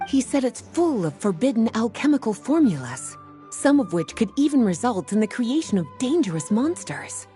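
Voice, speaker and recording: A woman playing a poised, knowing and gently teasing young librarian of magic in a video game. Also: a little high, sing-song, normal speed, soft background music. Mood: serious. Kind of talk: video game character acting.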